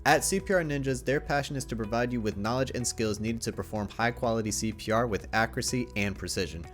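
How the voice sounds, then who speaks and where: A man reading a scripted sponsor ad in a steady delivery. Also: medium pitch, fast. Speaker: ad reader. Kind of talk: read sponsor ad. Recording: faint music underneath.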